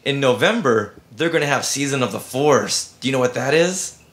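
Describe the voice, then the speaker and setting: A man's voice: mid-range voice, animated, normal speed, a bit bright. A man hosting a casual podcast interview with a child, asking simple questions in an encouraging way and echoing answers back.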